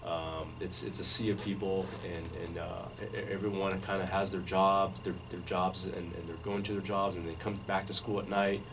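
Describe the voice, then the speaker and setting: A low-pitched man speaks in an even, unhurried way, circling back and restarting his sentences as he recounts his past. He sits in a radio studio, but his voice arrives from some distance off the mic, with a steady hiss of noise behind it. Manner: measured, many restarts and fillers. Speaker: interview guest. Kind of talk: relaxed life-story interview. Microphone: studio microphone, recorded from afar.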